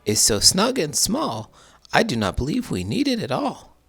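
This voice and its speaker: A sensible, practical wife from a storybook, voiced by a man. She speaks high and deliberately, with a gravelly grain underneath. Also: huge pitch swings, exaggerated voice. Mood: firm. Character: practical gardener's wife, woman.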